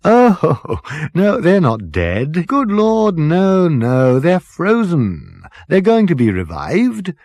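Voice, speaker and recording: Man acting a genial, dithering captain, swooping pitch. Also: wildly swooping pitch, slow pace, a little mellow, no room sound, studio quality, full character voice. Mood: relaxed, genial. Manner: rambling, hesitant, chummy, polite upper-class politeness. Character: genial, vague, dithering male ship's captain, cheerfully unbothered. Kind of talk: comic science fiction audiobook character.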